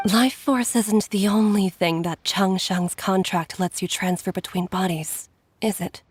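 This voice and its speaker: Actress as weary, caring doctor Jiangli. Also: female voice, medium pitch, fairly even intonation, a little slow, faint music behind, natural voice. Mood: earnest, probing. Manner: wistful, earnest, sighing. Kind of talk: scripted video game voice acting.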